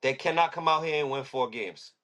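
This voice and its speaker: A man talks sports on a podcast in a bright, forceful voice. His delivery is loose and casual, full of fillers and slangy emphasis as he lays out his picks. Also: a little high, brisk. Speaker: podcast co-host.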